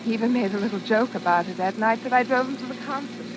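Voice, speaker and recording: A woman's voice: fairly high pitch, fast, natural voice. An actress playing an earnest, devoted, well-bred young woman in refined, emotive 1940s radio drama style. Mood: troubled, reflective. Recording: noisy, hissing old radio recording.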